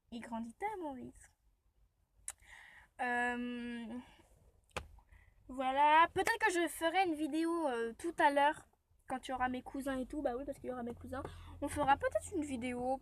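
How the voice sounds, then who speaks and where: A high-pitched woman talks casually to her viewers from inside a car, her throat sore from a cold. She rambles and chats loosely, story after story. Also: slight background noise. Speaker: vlogger. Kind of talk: casual vlog chat. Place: inside a parked car, rain outside.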